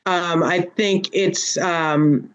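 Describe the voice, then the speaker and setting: A woman's voice: on the low side, fairly even intonation, extremely slow. A woman hosting a casual panel chat, prodding her guests in a teasing way and repeating her phrases. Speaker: host of a panel discussion.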